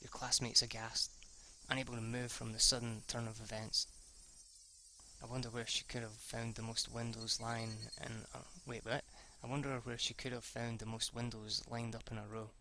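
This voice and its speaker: A man reading a visual novel aloud as an amateur, haltingly, stumbling and correcting himself with the odd swear word as an aside. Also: mid-range voice, creaky, noisy background. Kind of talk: amateur read-through of a story.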